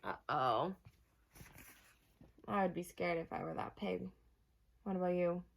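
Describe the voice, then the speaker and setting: A woman storyteller reading a storybook aloud to children, expressive, with asides and questions to her young listeners. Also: lowish voice, unhurried, creaky.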